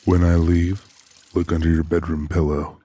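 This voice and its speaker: A man playing a calm, protective tough guy with a tender side, speaking steadily in an audiobook drama. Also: deep voice, moderate pace, no room sound. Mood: steady.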